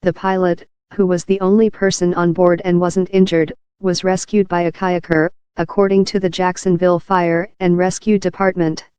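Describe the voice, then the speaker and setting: Smooth, very clear female news reader. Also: on the low side, level tone, moderate pace, hardly any pauses, mellow, no room sound. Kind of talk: scripted news narration.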